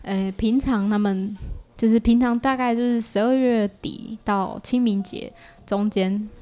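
A woman's voice: on the high side, fairly even intonation, a little slow, warm tone. Taiwanese woman, conversational podcast guest. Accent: Taiwanese Mandarin. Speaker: podcast guest, creator of audio works. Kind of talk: casual conversational explaining.

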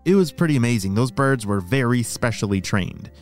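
Animated man telling children's stories. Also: mid-range voice, brisk, soft background music. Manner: simple explanations addressed to young listeners. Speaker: children's story podcast host.

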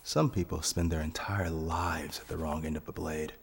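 A man playing a vengeful, cold nobleman in a fantasy audio drama, making deliberate, eloquent threats. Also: rumbling low, steady tone, vocal fry, husky, full character voice. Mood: menacing. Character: vengeful, menacing nobleman posing as castle phantom. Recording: long hall reverb.

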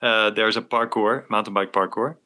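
A man's voice: on the low side, steady tone, moderate pace, sharp tone. A Dutch-native man from North Holland, a podcast guest, speaking English in an easygoing way. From North Holland, Netherlands. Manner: easygoing, laughs often. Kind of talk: casual interview chat.

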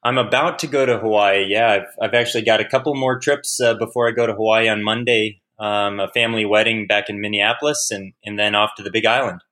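A man's voice: lowish voice, fairly even intonation, quick pace, hardly any pauses, pushed and bright. A man being interviewed as a guest on a podcast, answering in a friendly, easygoing way with frequent restarts and fillers. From United States.

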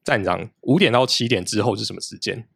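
Male guest, low-pitched and steady, recorded dry. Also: a little fast, gravelly, husky, dry recording. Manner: steady, explanatory. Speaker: podcast guest, podcaster. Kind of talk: casual interview talk.

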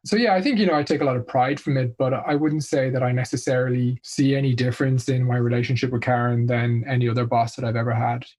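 A man's voice: mid-range voice, steady tone, quick pace, few pauses, darkish tone. A man from Ireland answering interview questions as a senior marketing executive, in a conversational, explanatory way.

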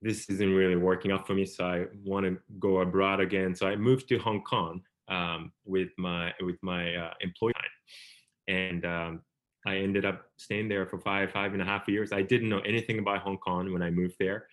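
Interview guest: Japanese man in his thirties, very low voice, hesitant storytelling full of fillers and restarts. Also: flat delivery, moderate pace. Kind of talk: conversational storytelling.

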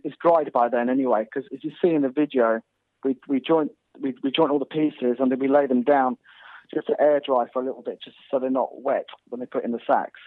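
A man's voice: medium pitch, dry recording. A man from North Wales, a guest hunter chatting casually in an interview, rambling with lots of restarts. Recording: tinny phone line.

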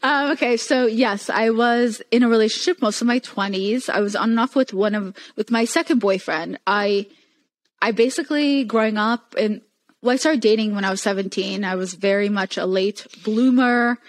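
A woman's voice: fairly high pitch, normal speed, no echo. A young woman whose first language is Hebrew, speaking as a podcast guest and telling her story in a candid, earnest way. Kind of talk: casual podcast conversation.

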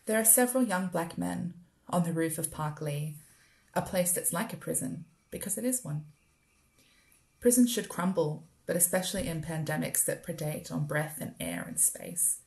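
Australian woman, spoken-word writer, lowish voice with heavy fry, measured literary read. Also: darkish tone. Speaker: writer performing own work.

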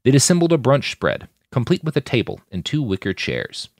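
A man's voice: medium pitch, big pitch swings, brisk, no room sound, studio quality. A man reading scripted fiction aloud as a narrator in an audiobook style.

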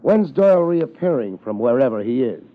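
An American actor playing a laid-back, wisecracking hard-boiled private investigator in a 1950s radio detective drama. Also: male voice, medium pitch, very animated, moderate pace, natural voice. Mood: dry, needling. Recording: muffled old 1950s radio recording.

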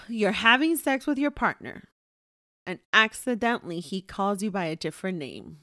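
Lively, teasing woman podcast host. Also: mid-range voice, normal speed, no echo, clean studio sound. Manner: teasing, laughs easily, lively retelling. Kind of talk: casual chat.

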